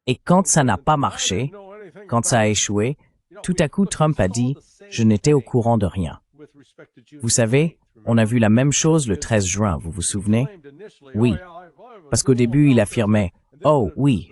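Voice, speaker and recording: A man's voice: mid-range voice, expressive, measured pace, darkish tone. A man reading a translated voice-over of a political discussion in a blunt, opinionated way.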